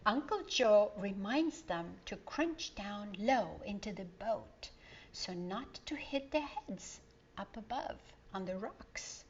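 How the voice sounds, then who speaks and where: A woman reading a children's story aloud in a gentle, expressive way. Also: medium pitch, slow pace, slight background noise. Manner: gentle, expressive, sing-song. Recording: dull lo-fi recording.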